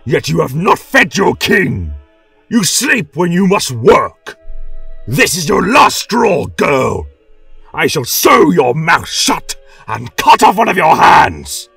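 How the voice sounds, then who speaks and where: A man's voice: on the high side, very animated, slow pace, gravelly, fairly bright tone, husky, no echo, recorded from a distance, soft background music, voice pushed up, exaggerated voice. A man voicing a brutal, possessive barbarian warlord, domineering and menacing, in a storytelling podcast. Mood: furious.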